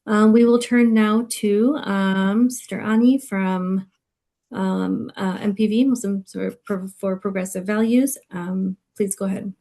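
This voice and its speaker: A woman's voice: medium pitch, fairly even intonation, measured pace, dark tone. A woman moderating a webinar on a video call, handing off politely with brief fillers.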